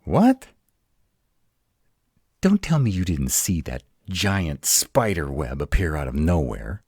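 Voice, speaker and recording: Casting: male actor for an uptight, protective male were-dragon in roleplay audio; slow, halting, widely swinging intonation. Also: low-pitched, big pitch swings, a little mellow, husky, no room sound, clean studio sound, full character voice. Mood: agitated, incredulous. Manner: halting, dramatic, slow delivery with emphatic outbursts. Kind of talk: intimate roleplay audio drama.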